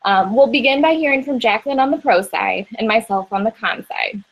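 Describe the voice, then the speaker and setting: A young woman from Indiana moderating an informal debate, reading a prepared introduction in a steady, organized way. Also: on the high side, a little fast, rough recording. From Indiana, USA.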